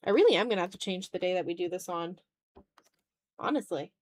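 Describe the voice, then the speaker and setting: A woman's voice: lowish voice, animated, fast talker. A woman chatting casually on a live art stream as she draws, playful and a bit dramatic, with offhand swearing. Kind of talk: casual live-stream chat while drawing.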